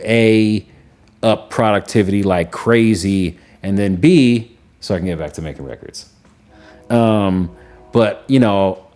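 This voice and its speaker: A man's voice: low voice, a little mellow. A young man, a music producer, talking casually on a podcast in a laid-back, rambling way, full of "like" and trailing thoughts.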